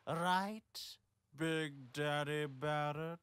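A man's voice: a little high, fairly even intonation, extremely slow, smooth, dry recording. A man voicing a smug, creepy older scientist villain with a theatrical, taunting drawl in a comedic cartoon parody. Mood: sly. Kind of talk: comedic cartoon dub acting. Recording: loud music behind the voice.

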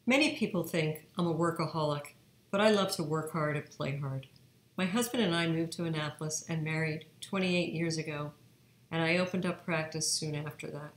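A woman in her fifties, an eye surgeon hosting a webinar, reading her presentation in a measured way. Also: sits low, echoey room. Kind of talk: read-aloud presentation narration.

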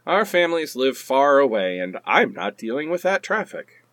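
Bickering boyfriend, male actor, pleading and sarcastic, lively pitch swings, dry close sound. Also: normal speed, dry recording. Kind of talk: comedic radio play acting.

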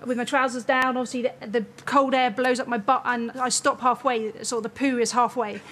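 High-voiced English woman telling a story. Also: flat delivery, brisk, very noisy. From Gosport, Hampshire, England. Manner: giggly, matter-of-fact storytelling. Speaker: studio audience guest. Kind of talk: telling an anecdote. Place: TV studio.

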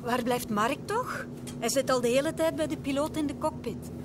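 A woman's voice: normal speed, natural voice. A woman playing a sensible, disapproving plane passenger in a comic-book story. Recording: very noisy vehicle rumble.

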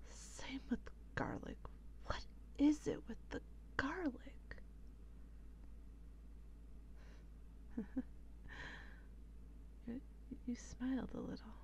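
A woman playing a world-weary, secretive female vampire in an ASMR role play, speaking in a hushed, deliberate, breathy whisper. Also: a little high, slight background noise. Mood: melancholy, wry. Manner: slow, hushed, intense and deliberate.

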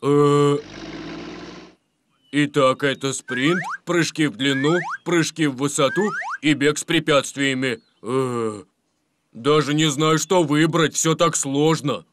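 An actor playing an earnest, unsure talking steamroller in a children's cartoon, with a hesitant, drawn-out way of talking. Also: male voice, fairly high pitch, fairly bright tone.

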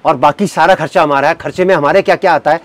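A man giving an emphatic sales pitch as a wholesale manufacturer, counting and listing items. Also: quick pace. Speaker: wholesale suit manufacturer and seller. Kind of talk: sales pitch to camera in a shop vlog.

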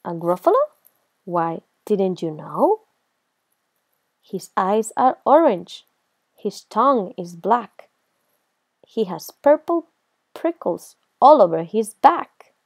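A woman's voice: mid-range voice, very animated, slow, long pauses, husky, no echo, exaggerated voice. A woman voicing a small, clever, cheeky mouse in a children's storybook, with a confident, emphatic, theatrical delivery. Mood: confident bluffing.

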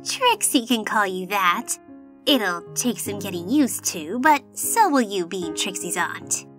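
A woman's voice: medium pitch, expressive, slow pace, over music. A woman voicing a dramatic, self-important but tender young female unicorn pony in a cartoon comic dub, with a theatrical manner. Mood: fond. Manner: theatrical, speaks of herself in third person. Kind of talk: animated comic dub acting.

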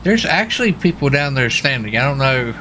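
A man's voice: medium pitch, sing-song, a little fast, sharp tone, slight background noise. An American man in casual gaming radio chatter, with a folksy, easygoing manner. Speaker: streamer playing a police officer in online roleplay.

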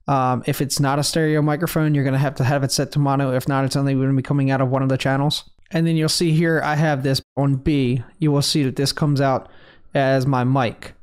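A man's voice: mid-range voice, brisk, smooth. A man casually walking viewers through his streaming audio setup, rambling a little and using fillers as he goes. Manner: relaxed, rambling explanation with fillers. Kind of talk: casual screen-recorded tutorial.

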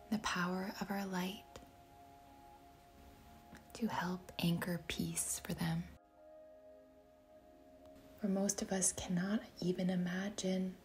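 A woman leading a guided meditation in a soft, breathy, whispery voice, speaking gently and deliberately with long pauses between short phrases. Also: on the low side. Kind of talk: guided meditation, slow and soothing narration.

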